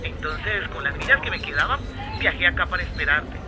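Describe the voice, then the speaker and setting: An actress playing a restless, affectionate Colombian traveller in a radio drama, telling things in a playful, expressive, conversational way. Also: female voice, fairly low pitch, fairly quick, natural voice. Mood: tender, longing. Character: adventurous, restless, affectionate young Colombian woman stranded while travelling. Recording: remote recording with clear traffic noise.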